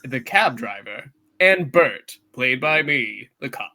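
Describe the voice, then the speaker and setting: A man reads scripted narration for a comedic live play. His delivery is slow and animated, with a bright, medium-pitched voice.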